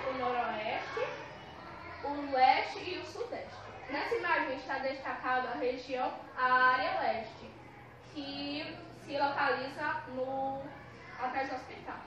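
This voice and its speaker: A teenage girl reading the final remarks of a school presentation, recorded by a camera across a classroom. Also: high voice. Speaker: student presenter. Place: classroom, indoors. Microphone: far camera. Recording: echoey room from far off, loud noise.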